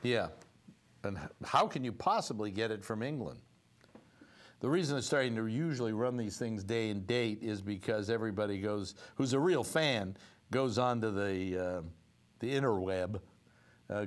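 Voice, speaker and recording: An older man hosting a talk show from a studio set, chatting in a wry, rambling way with many fillers. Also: on the low side. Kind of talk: casual talk show banter.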